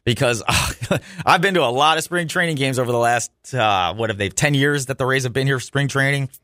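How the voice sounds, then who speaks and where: A man's voice: mid-range voice, a little fast, sharp tone, no echo. An American man talks sports as a radio host, in a dry studio sound with a mid-range voice. His delivery is casual and chatty, and he gives his opinions freely, like a fan behind the microphone.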